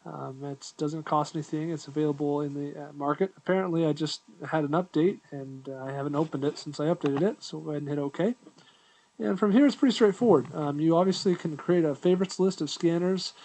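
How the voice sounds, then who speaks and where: A man's voice: fairly high pitch, a little fast, mellow, poor audio. An American man presenting a casual app walkthrough in an informal, easygoing, conversational way. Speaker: tutorial presenter.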